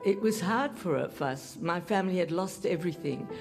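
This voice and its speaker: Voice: mid-range voice, expressive, normal speed, vocal fry. An elderly survivor from Italy carefully recounting a wartime escape. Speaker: Holocaust survivor giving testimony. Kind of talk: recorded testimony interview. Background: soft guitar music.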